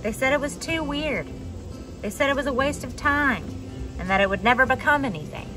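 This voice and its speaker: A young adult woman reading a children's picture book aloud expressively, with dramatic pauses and emphasis. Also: sits high, lively intonation, music in the background. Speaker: storybook reader for a children's church message. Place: outdoors on a wooden deck among trees.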